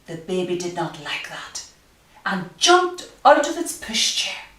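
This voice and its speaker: In an echoey room, a little away from the microphone, a woman reads a children's story aloud. She takes her time and leans dramatically on key phrases, with pitch that swings widely. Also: big pitch swings, slow pace, fairly bright tone. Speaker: storyteller reading a picture book to children. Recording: roomy echo, distant microphone.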